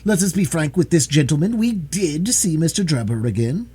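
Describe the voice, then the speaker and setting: Guy doing a young woman from a storybook, frank and firm, highish husky voice. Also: normal speed. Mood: earnest. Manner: calm, clear, firm.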